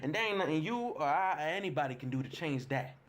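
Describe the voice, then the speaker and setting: A man's voice: on the high side, expressive, fast, natural voice. An actor performing a theatrical monologue as a streetwise older man, earthy and cocksure, with emphatic folksy vernacular. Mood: cocky, amused.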